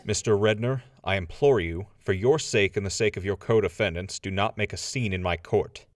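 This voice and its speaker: A man's voice: on the low side, moderate pace, lightly acted. A man playing a stern, exasperated judge in an audio drama, speaking with formal authority.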